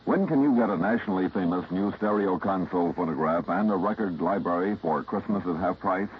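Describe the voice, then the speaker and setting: A man with an American accent reading a scripted retail radio advertisement. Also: lowish voice. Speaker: commercial announcer. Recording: muffled vintage radio, faint noise.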